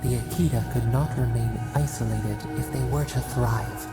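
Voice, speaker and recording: Game casting: solemn ancient spirit, gravelly, portentous delivery, reverberant, over loud music. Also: mid-range voice. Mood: portentous, reverent. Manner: slow, cryptic, poetic, portentous. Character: ancient, solemn nature spirit recalling shared memories. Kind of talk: video game dramatic narration. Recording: echoing voice under prominent music.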